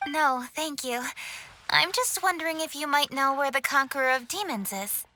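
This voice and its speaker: A woman voicing a brisk, dutiful young official in a game cutscene, speaking crisply and politely. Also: high-pitched, sharp tone, a little hiss or hum. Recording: game audio with clear music. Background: game music.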